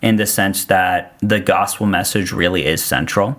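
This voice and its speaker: Low-pitched man from Austria, native German speaker. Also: fairly even intonation, moderate pace, a bit bright. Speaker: podcast guest. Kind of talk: casual conversation.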